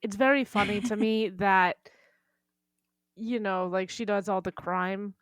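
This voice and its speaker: A woman's voice: medium pitch, steady tone, normal speed, no echo, clean studio sound. A woman co-hosting a podcast, chatting in an informal, conversational way. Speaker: podcast co-host. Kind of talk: casual chat.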